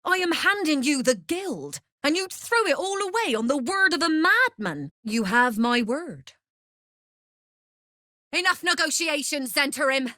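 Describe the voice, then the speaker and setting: A woman's voice: high-pitched, very animated, measured pace, bright, forceful tone, dry recording, studio quality, heavily acted. An actress playing a scheming, commanding female mercenary leader in a video game, persuasive and calculating. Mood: defiant, commanding. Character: scheming, smooth-talking female mercenary leader plotting a takeover. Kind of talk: video game character acting.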